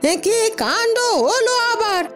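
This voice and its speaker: Woman playing a high-voiced, fretful old village mother. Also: expressive, unhurried, music in the background. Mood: astonished. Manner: homely, exclaiming, plaintive. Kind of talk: cartoon fairy-tale voice acting.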